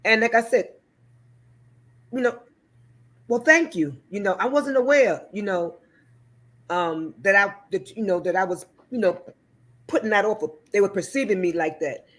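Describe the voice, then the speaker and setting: A woman guest with a deep voice chats casually on a talk show. She talks hesitantly, leaning on ums and you-knows as she works out her thoughts. Her pitch sits in an ordinary middle range. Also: moderate pace, long pauses. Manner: hesitant, many fillers. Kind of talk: casual discussion.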